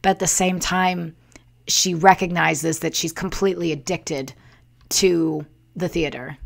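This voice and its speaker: Woman, smoky and worn voice, creaky, slightly slow pace, theatrical casual livestream chat, musing delivery. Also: fairly low pitch, fairly even intonation. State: voice rough the day after a livestream. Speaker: livestream host and performer. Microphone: Yeti desktop microphone.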